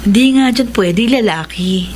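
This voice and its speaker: A woman's voice: medium pitch, expressive, measured pace, natural voice. Guarded young Filipina student played by a woman, noisy background. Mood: exasperated, guarded. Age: young adult. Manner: playfully exasperated, evasive. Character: guarded young college student fending off her boyfriend. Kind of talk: Filipino radio drama acting.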